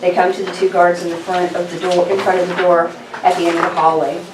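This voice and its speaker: A woman's voice: on the low side, little pitch movement, fast, noisy background. A woman reading scripted stage directions as narrator at a table read. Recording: echoey room, distant mic, people around.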